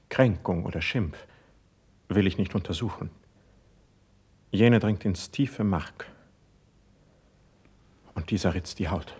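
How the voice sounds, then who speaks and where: A sensitive, proud court poet in a classical radio drama. His low, husky voice delivers verse with formal, measured care. He sounds wounded and stubborn beneath the courtly phrasing. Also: heavily acted. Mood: wounded, reserved. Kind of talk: classical German stage verse in a radio play.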